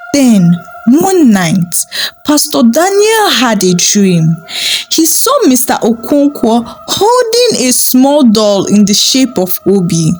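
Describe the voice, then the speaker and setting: A woman narrating a dramatic folktale in a slow, expressive way. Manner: slow, expressive, rising pitch on key moments. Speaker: storyteller. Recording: with background music.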